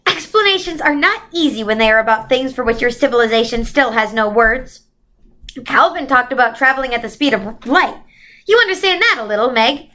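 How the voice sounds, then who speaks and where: Gentle winged female mentor read by a woman, very high voice, patient, encouraging, explanatory, storybook character. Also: lively intonation, a little fast. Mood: patient explaining. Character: gentle, reassuring female winged otherworldly guide.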